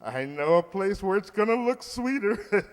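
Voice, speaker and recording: A man's voice: sits high, animated, measured pace. A man playing a pompous, sentimental middle-aged uncle in love, with a theatrical, hesitant, blustering delivery in old-time radio comedy style. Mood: cheerful, tender. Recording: echoey hall with long reverb.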